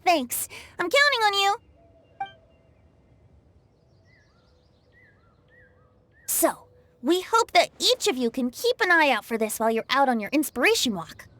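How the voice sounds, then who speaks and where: A bright, high-voiced young male bard from a video game, played by a woman. The delivery swings widely in pitch, with flowery phrases and a teasing, breezy charm. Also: big pitch swings, moderate pace, no room sound, exaggerated voice. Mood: friendly, explaining. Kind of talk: scripted game dialogue.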